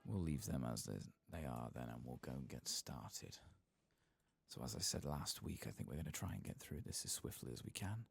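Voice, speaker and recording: A tired man narrates his game in a soft ASMR murmur that often drops to a whisper. His voice is very deep and heavily creaky, with a breathy rasp. The pitch moves about in a lively way as he talks unhurriedly to himself. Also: moderate pace. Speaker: ASMR gaming streamer.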